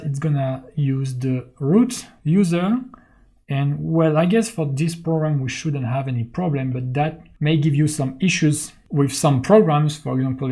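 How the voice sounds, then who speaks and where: A man's voice: fairly high pitch, mellow, slightly rough. A man teaching in an unscripted, step-by-step screencast tutorial, explaining as he goes and often checking in with a short okay or right. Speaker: instructor.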